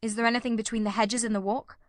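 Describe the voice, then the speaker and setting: A woman's voice: on the high side, level tone, quick pace, no echo, clean studio sound, lightly acted. A woman voicing a keen, probing male detective in an audiobook, with a formal, incisive and inquisitive manner. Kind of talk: audiobook character reading.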